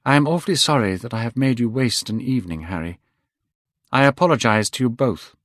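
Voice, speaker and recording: A man's voice: medium pitch, fairly quick, a bit bright, no echo, close to an everyday voice. A man voicing a proud, passionate young man who speaks coldly and bitterly, in a dry audiobook recording. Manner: cold and cutting, then bitter.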